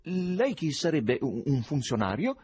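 A man voicing a gruff, suspicious housing chairman in an audiobook, questioning sternly and indignantly in halting phrases. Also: lowish voice, very animated, mellow, no room sound, exaggerated voice. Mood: alarmed, suspicious. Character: suspicious, boorish, easily angered male housing cooperative chairman.

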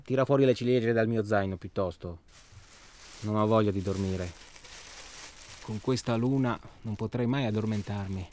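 An actor in a radio drama playing an irritable, bitter married man, halting and repetitive, swearing as he talks in a naturalistic way. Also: on the low side, a little mellow, a little hiss or hum, close to an everyday voice. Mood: grumbling, casual. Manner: profane, halting, repeats himself.

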